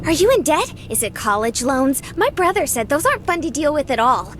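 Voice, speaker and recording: A woman's voice: sits high, very animated, a little fast, a little hiss or hum. A woman voicing a timid, earnest and anxious young woman traveller in a visual novel. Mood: curious, naive.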